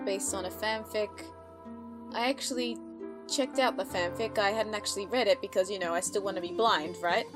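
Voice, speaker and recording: A woman commentates casually on something she is watching, thinking aloud in loose, rambling phrases. Her voice sits at an ordinary middle pitch, with faint music behind her. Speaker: blind-reaction commentator. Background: animated audio drama playing with music.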